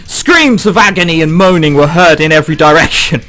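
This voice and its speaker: A man commentating on a game and reading its text aloud in a casual, joking and animated way. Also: a little high, brisk, soft background music. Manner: animated, joking, frequent swearing and exclamations. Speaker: let's play host.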